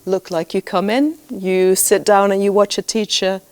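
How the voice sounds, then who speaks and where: A woman of middle pitch runs a round-table discussion as a coach. She prompts each student with short questions and often pauses on "um" and "uh". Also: fairly even intonation, clean tone. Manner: friendly, prompting questions with fillers.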